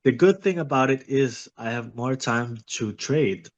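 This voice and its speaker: Male guest, under the weather, brief plain halting replies. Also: mid-range voice, highs cut off. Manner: short, simple, halting answers. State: sick. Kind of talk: casual interview answers.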